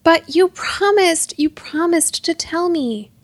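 A woman's voice: high-pitched, lively intonation, normal speed, no echo. A woman voicing a defiant, impassioned girl in a storybook, by turns furious and pleading. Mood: indignant, furious.

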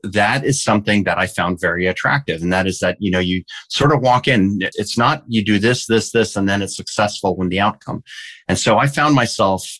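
Deep-voiced Danish-born man telling his story. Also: fairly bright tone. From Denmark. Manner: measured, reflective storytelling. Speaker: guest. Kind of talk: conversational interview.

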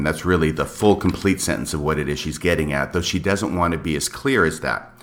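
A man's voice: low-pitched, fairly bright tone. A man giving conversational, argumentative commentary as a co-host, speaking emphatically and laying out his case point by point.

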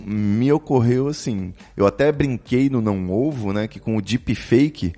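A man's voice: medium pitch, measured pace, warm tone. A man with a Brazilian Portuguese accent hosting a podcast in casual chat, speaking in a slangy, teasing, playful way. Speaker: podcast host.

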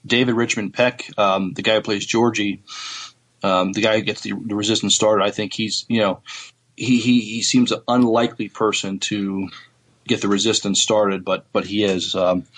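A man co-hosting a podcast in casual chat, halting and searching for his words. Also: lowish voice, little pitch movement, fairly bright tone, slightly rough. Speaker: podcast co-host.

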